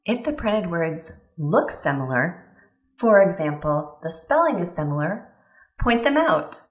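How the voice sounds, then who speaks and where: A woman giving an instructional voice-over interpretation, phrasing her explanations in a measured, clear way. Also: on the low side, big pitch swings. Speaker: voice-over interpreter for a signing presenter. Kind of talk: instructional voice-over translating signed presentation. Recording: roomy, reverberant sound.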